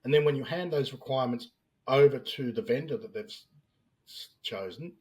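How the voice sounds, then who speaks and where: A man's voice: medium pitch, expressive, a little fast, a little mellow, poor audio. Australian man, explaining plainly. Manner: plain-spoken, explains with everyday examples. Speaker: guest, business analyst. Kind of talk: podcast interview.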